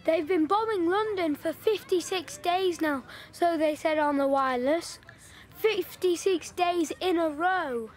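Here is a gossipy village girl from wartime, as an actress plays her in a radio play. She talks high and eager, earnest and quick to chime in. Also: a little hiss or hum. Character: chatty local Yorkshire village girl in wartime. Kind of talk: audio drama acting.

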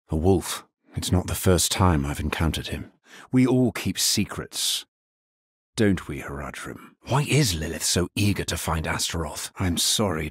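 An actor playing a grim, resolute necromancer in a dark fantasy video game, solemn and deliberate. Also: male voice, low-pitched, husky, no echo, studio quality, full character voice. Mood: grave, brooding. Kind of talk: dramatic video game character lines.

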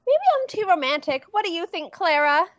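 High, dreamy young female cartoon duck, done by a woman, lovestruck, dry close sound. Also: big pitch swings, a little fast, a bit bright, no room sound, heavily acted. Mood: pining. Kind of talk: comic dub reading.

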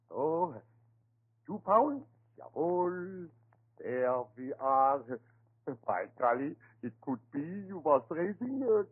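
An actor playing a garrulous, good-natured German immigrant shopkeeper, a butcher with a German accent and broken English, in a 1930s radio drama. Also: male voice, high voice, breathy edge, exaggerated voice. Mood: jovial. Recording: old tinny 1930s radio recording.

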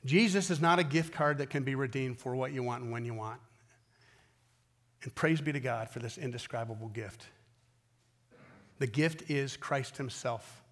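An American man in his fifties preaching a sermon as a pastor, earnest and emphatic, with deliberate pauses and repetition. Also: mid-range voice, fairly bright tone, long reverb tail. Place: large indoor church auditorium stage at a wooden pulpit.

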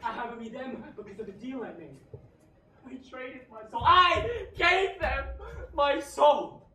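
An actor playing a tormented scholar who sold his soul, shouting in anguish and then dropping to hushed dread. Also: very high-pitched, fairly quick. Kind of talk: stage play acting. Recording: echoey hall from far off, faint ambient noise.

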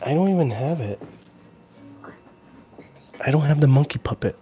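A man's voice: a little high, animated, normal speed, mellow. A man in his forties chatting casually and playfully on a livestream, often repeating himself, close to the mic. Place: small room at home. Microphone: handheld-style recorder on a stand, close up.

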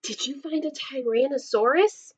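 A woman voicing an enthusiastic, easily amazed young boy bear cub in a storybook, exclaiming with drawn-out emphasis. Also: sits high, darkish tone, no room sound, muffled recording, voice pushed up, natural voice. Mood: excited. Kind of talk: storybook character voice.

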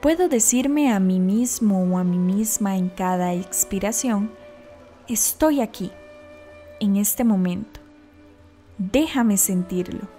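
Mellow woman guiding meditation. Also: medium pitch, soft background music. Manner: calm, deliberate, instructional. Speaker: guided meditation narrator. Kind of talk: scripted guided meditation narration.

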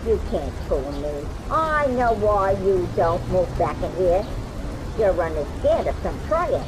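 A woman's voice: on the low side, big pitch swings, a little slow, background noise. Scolding old mother, wildly swooping voice. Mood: contemptuous. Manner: scornful, nagging, melodramatic. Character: scolding, shaming Italian-American mother of a grown gangster. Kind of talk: video game cutscene acting.

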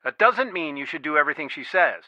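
A man's voice: on the high side, expressive, quick pace, pushed and bright, no room sound, poor audio. A man voicing a stern, worried middle-aged father and lawyer in a video game, earnest, firm and protective. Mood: concerned. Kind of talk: video game voice acting, one side of phone conversations.

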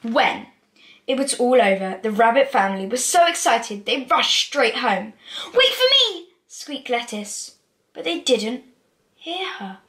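Girl of about twelve, high voice, expressive children's read-aloud, careful delivery, echoey room. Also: unhurried. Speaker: presenter reading children's picture books aloud. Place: small room at home, indoors.

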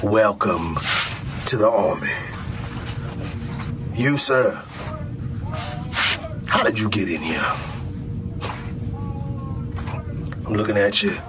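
Man playing a slow, swooping American drill sergeant. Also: lowish voice, animated, background noise. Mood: mocking, suspicious. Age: middle-aged. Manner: barking, intimidating, drawn-out deliberate phrasing. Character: stern, mocking male army drill sergeant. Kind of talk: comedic military ASMR roleplay.

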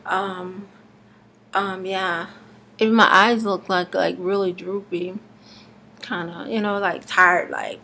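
A woman in her thirties, slightly hoarse and congested, talking haltingly in a casual video diary with many fillers and restarts, recorded on a webcam in her living room. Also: fairly low pitch, little pitch movement, sharp tone. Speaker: vlogger. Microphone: webcam at arm's length.

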